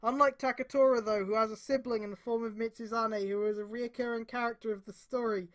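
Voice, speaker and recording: A woman's voice: on the high side, steady tone, fast. A woman streamer reading fan fiction aloud in a mocking, theatrical way, with exasperated, sweary asides. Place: indoors on a live stream.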